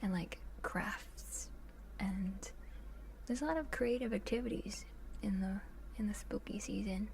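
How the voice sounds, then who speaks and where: A woman chatting casually in a soft, breathy voice that often drops to a whisper, in a gentle ASMR livestream style with hesitant musing. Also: medium pitch, unhurried, slight background noise, low-quality recording. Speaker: ASMR live streamer. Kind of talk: soft ASMR chat with viewers, much of it whispered.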